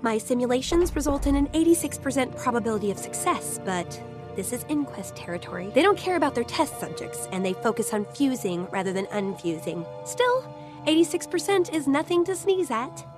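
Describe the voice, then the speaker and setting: A woman playing a sharp-witted gnome-like inventor in a video game, brisk, technical and blunt. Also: high-pitched, very animated, music in the background. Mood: earnest, blunt. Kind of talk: video game cutscene acting.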